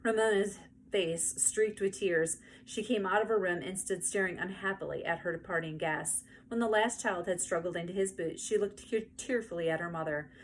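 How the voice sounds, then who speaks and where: A woman narrating a children's book aloud in an expressive storytelling style. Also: lowish voice, moderate pace, rough recording. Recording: faint background noise.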